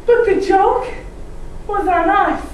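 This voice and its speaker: A distant, very echoey recording of a young woman in a readers theatre piece. Her voice is high and she delivers scripted lines slowly and theatrically, with clear background noise. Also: a little mellow. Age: young adult. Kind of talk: scripted ensemble stage storytelling. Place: live stage performance in a hall. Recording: echoey hall from far off, noisy.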